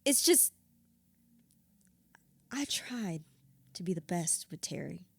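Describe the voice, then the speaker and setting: A woman's voice: lowish voice, creaky, a little mellow, dry recording. An actress playing a hurt, bitter widow confiding in her therapist in an audio drama, raw, blunt and sweary. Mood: wounded, self-blaming. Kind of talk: audio drama acting, confessional dialogue.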